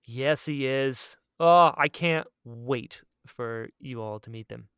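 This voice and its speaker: Excitable man chatting, clean dry sound. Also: mid-range voice, slow, clean tone, dry recording. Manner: excitable, emphatic and repetitive. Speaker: podcast co-host and audio drama creator. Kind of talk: casual chat.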